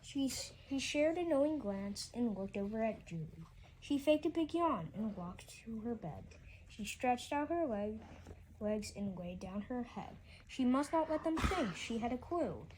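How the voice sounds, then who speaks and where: A woman with a fairly high voice reads a rhyming story for children. She trips over words and goes back to repeat them. Noticeable noise runs behind her reading. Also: lively intonation, darkish tone, poor audio. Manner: halting reading, often stumbling and repeating words. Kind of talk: read-aloud rhyming children's storybook narration.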